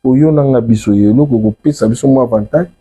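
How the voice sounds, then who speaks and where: A man's voice: medium pitch, fast, dark tone, breathy edge. A man from the Congo with a Congolese accent, explaining his religion as an interview guest and restating key words. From Democratic Republic of the Congo. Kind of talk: explanatory interview talk, mixing French and Lingala.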